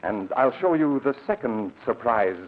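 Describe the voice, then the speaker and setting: A man acting a secretive, grave lab assistant in a 1940s American radio drama, speaking with deliberate, dramatic pauses. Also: medium pitch, sing-song, noisy background, lightly acted. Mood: ominous, intent. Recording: muffled old radio recording.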